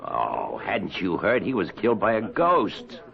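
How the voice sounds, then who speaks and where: Sly old smuggler, lowish voice that slides up n down, folksy, fake innocent, muffled radio play. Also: male voice, wildly swooping pitch, measured pace, gravelly, heavily acted. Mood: sly, amused. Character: sly old male colonial scoundrel and smuggler. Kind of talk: 1970s radio drama acting. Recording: dull vintage radio broadcast.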